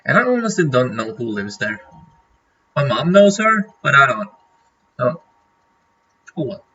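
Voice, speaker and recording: A man's voice: medium pitch, big pitch swings, a little slow, sharp tone, husky, dull audio. A man from Norway, a native Norwegian speaker, chatting casually on a livestream and rambling with lots of fillers and restarts. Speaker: streamer.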